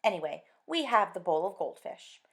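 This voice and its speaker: Woman voices a cross, penny-pinching wife; sharp, complaining, gravelly edge. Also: medium pitch, very animated, fairly quick. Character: cross thrifty middle-aged housewife.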